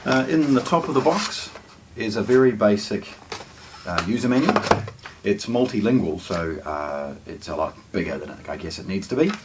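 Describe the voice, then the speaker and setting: A man with a lowish voice talks through a product in a casual, explanatory way. His intonation rises and falls a great deal, and he pauses hesitantly between phrases. There is faint noise behind him. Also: animated. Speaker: product presenter. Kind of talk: casual hands-on unboxing commentary.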